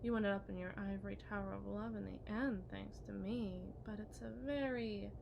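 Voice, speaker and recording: A woman voicing a possessive, unhinged kidnapper wife in an ASMR roleplay monologue, theatrical and taunting, swinging between hushed and shrill. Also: fairly even intonation, moderate pace, creaky, highs cut off, background noise, close to an everyday voice. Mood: cold, hushed.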